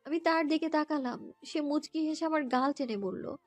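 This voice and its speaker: A woman narrating a romantic story in the first person, in a girlish, expressive, dramatised way. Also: high-pitched, normal speed, no room sound. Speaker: narrator and lead voice actor. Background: background music.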